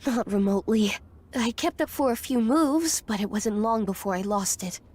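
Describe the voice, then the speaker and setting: A woman's voice: on the high side, animated, normal speed, darkish tone. A woman playing a composed, articulate adventuring companion in a game, with dry and precise wording. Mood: calm.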